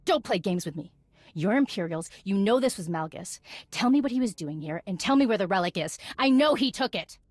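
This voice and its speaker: An actress playing a righteous, angry and anguished Jedi in a video game, accusing and emotionally intense. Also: female voice, mid-range voice, animated, fairly quick, a little mellow, dry recording, lightly acted. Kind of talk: video game cutscene acting.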